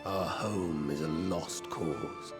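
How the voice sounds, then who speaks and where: A grave otherworldly villain speaks in a very deep voice at a very slow, deliberate pace. The fantasy game line sounds weary and portentous over clear music. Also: male voice. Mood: grim, resolute. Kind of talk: scripted video game dialogue. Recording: sad music behind the voice.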